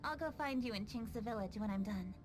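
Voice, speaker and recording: A woman's voice: mid-range voice, lively intonation, fairly quick, over music, lightly acted. A woman playing a young, composed, determined official in a video game, speaking in a formal, commanding way. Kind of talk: animated video game acting.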